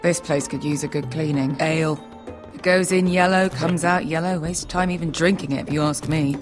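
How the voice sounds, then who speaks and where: Woman acting a low-voiced, sardonic sellsword. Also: steady tone, normal speed, close to an everyday voice. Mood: sardonic banter. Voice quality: low-pitched voice. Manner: sardonic, blunt, swearing freely. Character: tough, irreverent female sellsword, hard-drinking and crude. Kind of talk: video game character dialogue. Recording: music playing behind.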